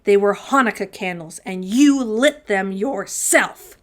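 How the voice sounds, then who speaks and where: A woman's voice: medium pitch, a little slow, lightly acted. A woman voicing a plucky man in a storybook who taunts with feigned nonchalance and defiance. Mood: defiant, triumphant.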